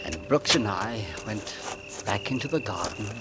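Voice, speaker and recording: An actor playing a married English gentleman who fears poisoning, speaking formally and deliberately in 1940s radio suspense style. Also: fairly low pitch, lively intonation, over loud music. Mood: haunted narration. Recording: noisy vintage radio drama recording.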